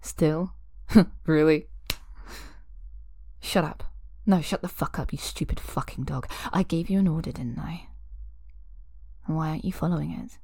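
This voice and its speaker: A woman voicing an obsessive young woman who holds her lover captive, sweet on the surface and cruel beneath, talking in breathy whispers and giggles. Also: low-pitched, measured pace, long pauses, dark tone, no echo, heavily acted. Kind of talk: audio roleplay drama.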